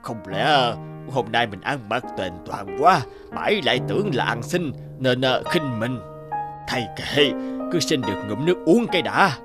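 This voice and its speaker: An actor voicing a vain, spiteful middle-aged feng shui master in a cartoon folk tale, theatrical and grumbling. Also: male voice, mid-range voice, animated, moderate pace, lightly acted. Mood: irritated, resentful. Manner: theatrical, muttering complaints, falsely polite. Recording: music behind the voice.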